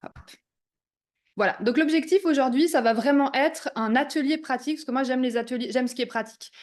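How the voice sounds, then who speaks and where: A young woman from Switzerland, a business coach, giving an energetic online workshop over a video call and mixing English business words into her talk. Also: high-pitched, a little fast. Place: remote video call from abroad.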